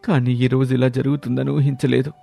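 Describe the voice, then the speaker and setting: A man's voice: mid-range voice, little pitch movement, brisk, gravelly, mellow, no echo, pitched above the performer's own voice. A man voicing an old male trader who has just been robbed, speaking in a raised, strained, pleading tone. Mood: shaken. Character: older male trader, frail and shaken.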